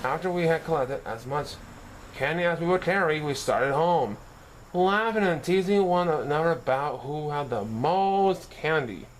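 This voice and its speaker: A man's voice: a little high, animated, moderate pace, a little hiss or hum, low-quality recording. A man reading a children's story aloud as narration, now and then stumbling and correcting himself. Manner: occasional stumbles and self-corrections while reading. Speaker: story reader.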